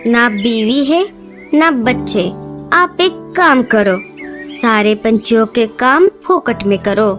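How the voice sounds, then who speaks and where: A woman voicing a sarcastic, scolding female bird in a children's cartoon, nagging and sharp-tongued. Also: on the high side, lively intonation, takes it slowly, over music. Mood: scornful scolding.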